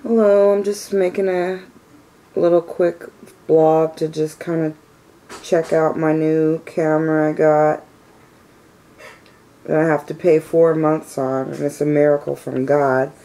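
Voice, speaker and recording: A low, flat-voiced woman in her thirties, raspy and stuffed up from a cold. She rambles and vents in a casual vlog, circling back on her complaints with plenty of "you know"s. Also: a little slow, pauses often, rough recording. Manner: frustrated, venting complaints. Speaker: vlogger. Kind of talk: casual personal vlog rant.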